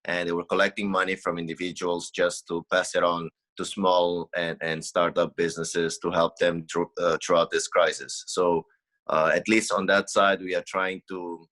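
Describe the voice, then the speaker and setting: Brief: very low, forceful male guest from Mozambique, earnest and halting. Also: level tone. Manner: earnest, searching for words. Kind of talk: casual interview talk.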